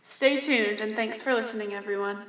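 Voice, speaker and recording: A woman's voice: a little high, moderate pace, fairly bright tone. Woman reading news in an echoey room. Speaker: news presenter. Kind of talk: scripted news reading for a mock 1930s radio broadcast.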